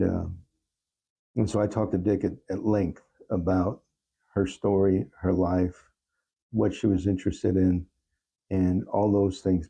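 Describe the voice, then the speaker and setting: Guy guest on a podcast, really dark gravelly voice, earnest, pauses to think. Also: low-pitched, low-quality recording. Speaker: podcast guest, funeral officiant. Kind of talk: reflective interview conversation.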